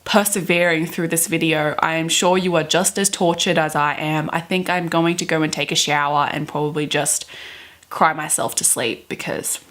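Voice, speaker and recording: A young adult woman with a theatrical, expressive way of talking, doing dramatic readings and chatty commentary to camera in a bedroom. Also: low voice, moderate pace. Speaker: book vlogger. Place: small bedroom, indoors.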